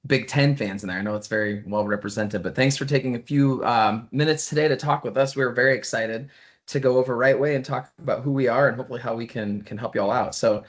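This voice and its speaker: A man's voice: mid-range voice, fast talker. Easygoing guy doing a company overview on a video call, lots of restarts. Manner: friendly and chatty, with restarts. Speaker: sales executive. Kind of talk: business webinar presentation.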